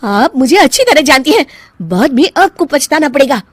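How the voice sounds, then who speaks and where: A woman's voice: sits high, very animated, normal speed, over music. A woman voicing a selfish, insolent young daughter-in-law in a cartoon, pert and dismissive. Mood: indignant.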